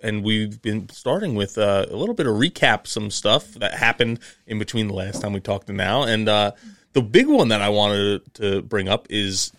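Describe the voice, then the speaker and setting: A man's voice: on the low side, expressive, moderate pace, fairly bright tone, no echo, studio quality. A man co-hosting a podcast, easygoing in casual chat and steering the conversation to the next topic. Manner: easygoing, steers the talk, many uh fillers. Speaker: podcast co-host.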